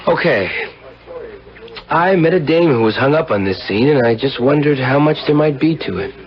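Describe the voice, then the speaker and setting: A man's voice: fairly high pitch, expressive, moderate pace, lightly acted. An actor playing a cynical, slangy womanizing car dealer in a 1970s radio drama. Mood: casual, guarded. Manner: hip slangy, wry. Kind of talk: 1970s radio drama acting with first-person narration. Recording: muffled old radio broadcast, music behind.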